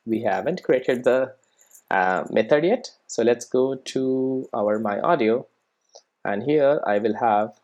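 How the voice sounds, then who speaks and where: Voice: mid-range voice, darkish tone, highs cut off. A person giving an informal live coding tutorial, thinking aloud and often checking in with "right" and "okay". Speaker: programming tutorial presenter.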